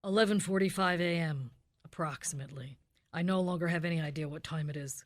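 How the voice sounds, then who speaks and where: Police radio spoof: hard-boiled detective narrator played by a woman, slightly low register, flat deadpan, clipped and businesslike. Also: brisk, soft background music, close to an everyday voice. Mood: dry, official. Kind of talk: parody of 1950s police radio drama.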